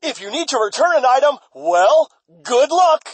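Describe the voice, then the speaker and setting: A woman voicing an automated phone menu system in an audio comedy, brisk, indifferent and formulaic. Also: sits high, big pitch swings, no room sound.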